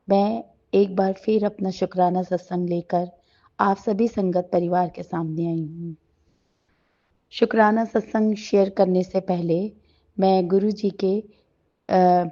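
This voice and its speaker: A middle-aged woman with a sore throat, sharing a devotional gratitude talk at home before a shrine, in a gentle, halting way with many pauses. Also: mid-range voice, fairly even intonation. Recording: slightly dull lo-fi recording.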